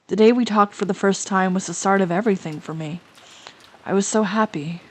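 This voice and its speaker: A woman voicing a quiet, lonely schoolgirl who speaks softly and then pleads with urgency. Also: mid-range voice, a little fast, a little hiss or hum, natural voice. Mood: subdued. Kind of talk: amateur audio drama reading.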